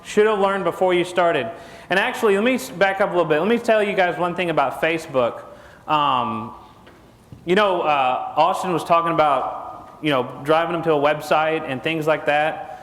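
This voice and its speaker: A middle-aged Texan man speaks to a conference audience in a folksy, joking way and keeps throwing questions at them. His voice sits a bit high for a man. A lapel mic picks him up in a large hall with a long, echoing reverb. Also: normal speed, fairly bright tone. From Texas. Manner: folksy, jokey, asks the crowd questions. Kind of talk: joking seminar talk to an audience.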